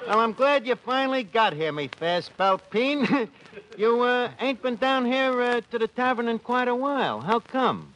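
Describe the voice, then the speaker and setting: An actor playing a brash tavern manager who wisecracks with ungrammatical street talk, in 1940s radio comedy style. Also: male voice, very high-pitched, big pitch swings, moderate pace, a bit bright, heavily acted. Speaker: host of the show. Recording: noisy 1940s radio broadcast.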